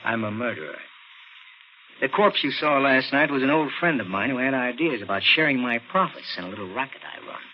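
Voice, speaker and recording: A man's voice: medium pitch, lively intonation, brisk, natural voice. Male actor, American tough-guy killer, commanding, clipped delivery, 1940s radio drama, dull noisy recording. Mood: cold, menacing. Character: cold-blooded racketeer murderer posing as a policeman. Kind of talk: 1940s radio thriller acting.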